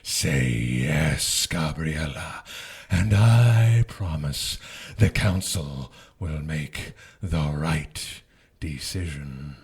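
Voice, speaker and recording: A slow, raspy demon lord tempts in a bottomless deep voice. He is mocking and power-hungry, coaxing theatrically in audio drama fashion. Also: animated, full character voice. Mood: grandiose, tempting. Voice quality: husky, raspy.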